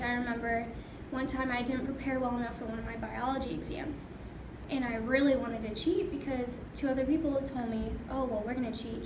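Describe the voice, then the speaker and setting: A young adult woman reflecting aloud in a group conversation. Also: a little high. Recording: echoey room with background noise.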